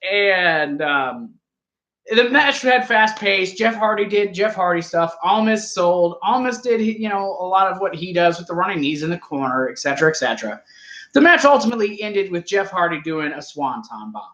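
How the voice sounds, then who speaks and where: A man's voice: high-pitched, animated, moderate pace, a bit bright, roomy sound. A man casually reviewing a wrestling show, rambling and joking as he argues with his co-host. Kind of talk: casual live review chat.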